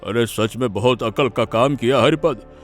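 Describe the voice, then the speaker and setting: A man's voice: medium pitch, moderate pace, faint music behind, lightly acted. A man voicing an amazed, chatty village neighbour in a cartoon story. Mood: amazed, admiring. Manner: exclaiming, folksy, questioning. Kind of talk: Hindi cartoon story voice acting.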